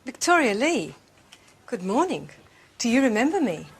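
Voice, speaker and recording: An actress playing a confident, persuasive businesswoman, formal and smoothly pushy in scripted dialogue. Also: fairly high pitch, very animated, normal speed, faint music behind. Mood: polite, assured. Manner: formal, assured.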